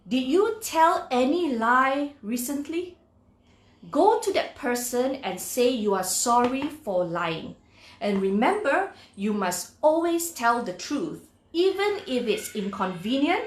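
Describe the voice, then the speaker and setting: Lady reading a kids book to children, highish voice, takes her time, kinda teacherly, echoey room. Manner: slow, deliberate, instructive. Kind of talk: expressive children's read-aloud with asides to young listeners.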